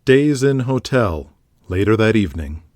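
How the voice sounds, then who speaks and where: A man hosting and narrating an audio drama podcast in a steady, measured storytelling manner. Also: lowish voice. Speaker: narrator and host. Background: music.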